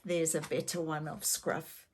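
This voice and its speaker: An older woman narrating a children's story expressively, gently and deliberately, with questions to young listeners. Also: deep voice, little pitch movement, a little hiss or hum. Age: older, grandmother.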